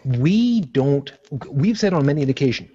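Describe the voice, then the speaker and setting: Husky, rambling podcast guy from Brooklyn. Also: on the high side, sing-song, normal speed, dark tone, muffled recording. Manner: rambling, self-correcting, jokey. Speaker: podcast co-host. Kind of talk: casual chat.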